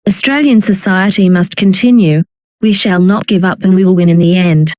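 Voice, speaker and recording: Low-voiced woman reading emergency announcements. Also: normal speed, a little mellow, no room sound. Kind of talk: scripted emergency announcement narration.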